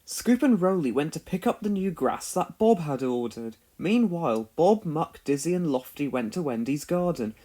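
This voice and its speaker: A narrator reading a children's read-along story in an expressive, clearly paced storytelling manner. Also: mid-range voice, moderate pace, hardly any pauses.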